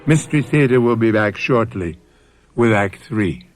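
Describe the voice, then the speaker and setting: A man hosting and narrating a radio mystery drama in an ominous, theatrical, deliberate manner. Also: on the low side, lively intonation. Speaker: host and narrator. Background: music.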